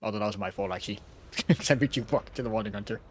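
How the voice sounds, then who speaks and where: A man's voice: fairly low pitch, rapid-fire, slight background noise. A man doing casual gameplay commentary as a streamer, joking and slangy, often chuckling.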